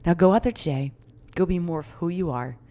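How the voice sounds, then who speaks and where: A middle-aged woman coaching her listeners through her own podcast in a bright, encouraging, chatty way. Her voice sits a little low for a woman. She talks with easy fillers and rising enthusiasm. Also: a little fast. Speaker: podcast host and confidence coach. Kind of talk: upbeat solo podcast talk.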